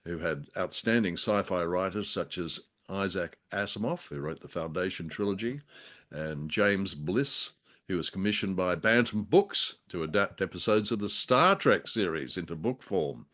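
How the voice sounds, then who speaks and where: A man co-hosting a radio show, chatting casually about old drama in a halting, wry, bookish way. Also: deep voice, lively intonation, a bit bright.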